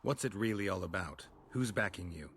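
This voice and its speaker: A world-weary monster hunter from a fantasy video game, with a very low male voice. He is blunt and dry, asking terse questions over faint background noise. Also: lively intonation, fairly quick, fairly bright tone. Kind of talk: video game dialogue acting.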